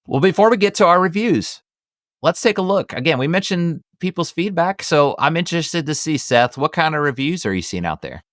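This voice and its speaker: A man's voice: on the high side, expressive, fairly quick, a bit bright, no room sound, clean studio sound. A man hosting a movie review podcast in casual conversation, often hedging and circling back over his words. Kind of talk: casual film review chat.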